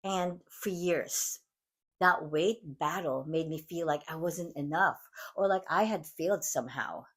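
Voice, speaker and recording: A woman from the Philippines with a Filipino accent, hosting her podcast in an earnest, measured, reflective monologue. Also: low voice, husky. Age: adult mom. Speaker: podcast host. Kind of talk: scripted motivational monologue.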